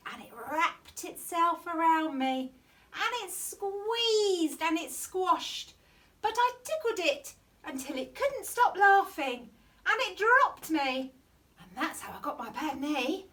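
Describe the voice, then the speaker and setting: A woman's voice: extremely high pitch, fairly bright tone, recorded from a distance. A woman reading a children's bedtime story theatrically, stretching words with exaggerated emphasis. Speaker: storytime reader at a primary school.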